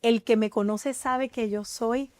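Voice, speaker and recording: Earnest woman sharing her testimony, somewhat high. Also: steady tone, measured pace, a little mellow, dry recording. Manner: emotional, earnest, hesitant with many fillers. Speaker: guest. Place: TV studio.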